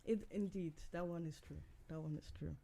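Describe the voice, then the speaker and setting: A lively woman hosts a casual group podcast with a slightly low voice. She was raised in Switzerland, fires off quick questions and laughs often as she steers the talk. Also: creaky, a crowd in the background. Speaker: podcast host. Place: indoor podcast recording.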